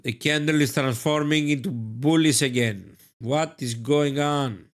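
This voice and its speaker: A man with a Greek accent hosting a live trading stream, reading viewer comments and answering them warmly, often repeating his phrases. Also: medium pitch, unhurried. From Greece. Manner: effusive, repeats phrases, calls viewers "my beloved friend".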